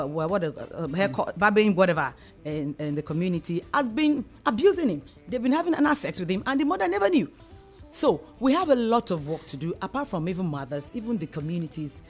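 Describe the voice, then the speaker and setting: Nigerian woman earnestly explaining, music behind. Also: lowish voice. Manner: emphatic, repeats phrases for stress. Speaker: discussion guest, community advocate.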